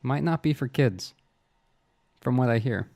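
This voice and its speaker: A man's voice: medium pitch, expressive, measured pace, gravelly, darkish tone. A man co-hosting a casual podcast, speaking in a blunt, opinionated and informal way. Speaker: podcast co-host. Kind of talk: casual chat.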